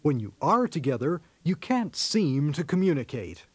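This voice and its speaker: A man plays an earnest marriage counselor in radio comedy. His voice is a little high and moves around a lot in pitch, with measured therapist manners. The sound is dry and close. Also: very animated, a little mellow, husky, dry recording. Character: soothing male marriage counselor who translates jargon. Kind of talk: radio sketch comedy acting.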